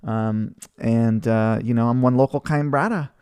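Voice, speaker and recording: A man's voice: medium pitch, a little slow, a little mellow, clear, resonant tone. A man from Hawaii in his thirties, hosting a live webinar and chatting casually with viewers, full of fillers and restarts. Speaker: webinar host. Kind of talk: casual chat reading viewer comments.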